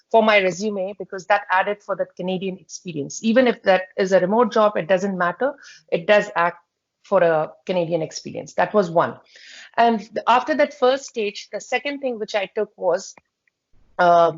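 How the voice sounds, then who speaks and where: A woman, an interview guest earnestly laying out job-search advice step by step, pausing often. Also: medium pitch, no room sound. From India. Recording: dry, muffled lo-fi audio.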